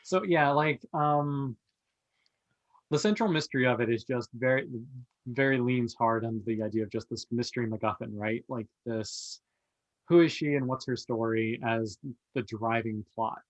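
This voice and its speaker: A man's voice: mid-range voice, moderate pace, no echo, muffled recording. A man chatting casually on a podcast panel in an easygoing, offhand way. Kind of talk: casual chat.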